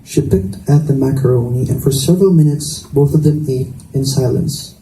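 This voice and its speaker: A man's voice: medium pitch, moderate pace, mellow. A man reading scripted narration from a romance novel aloud in a roomy indoor space. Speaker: reader. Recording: echoey room, distant mic, faint noise.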